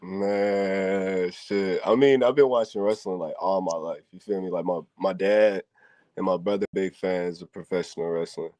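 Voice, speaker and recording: Young dude with a real low voice, dry close sound, slangy, says "you know what I'm saying" nonstop. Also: male voice, moderate pace, darkish tone, dry recording. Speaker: professional wrestler, guest. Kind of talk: casual interview chat.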